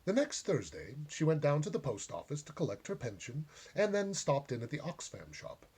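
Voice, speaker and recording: A man reading a short story aloud as a storyteller, with gentle, deliberate and dramatic phrasing. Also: fairly high pitch, huge pitch swings, breathy edge.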